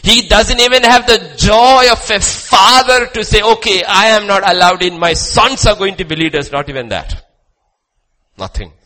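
A man with an Indian accent preaching a sermon emphatically, leaning on rhetorical questions and repetition and moving between loud declamation and quieter asides. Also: high voice, poor audio.

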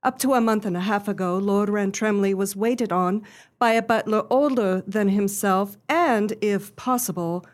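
A woman playing an earnest, genteel young lady in a radio play, telling her story in formal, measured phrases. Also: mid-range voice, lightly acted. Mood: earnest, grave.

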